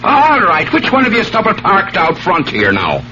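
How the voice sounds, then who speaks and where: A blustering policeman in a comic radio ad, with a highish, very animated delivery. The old recording is dull and noisy. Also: moderate pace, heavily acted. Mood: officious. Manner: brash, exaggerated.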